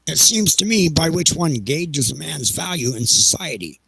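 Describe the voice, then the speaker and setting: A man playing a peevish, defensive railroad executive in an audio drama, with whiny, halting, indignant delivery. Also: fairly high pitch, big pitch swings, creaky, fairly bright tone, slightly rough, no echo, voice pushed up, full character voice, poor audio.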